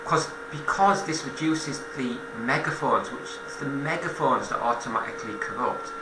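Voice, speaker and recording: A man giving an informal political monologue as a commentator, halting and self-correcting, repeating phrases for emphasis. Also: mid-range voice, roomy sound, background noise.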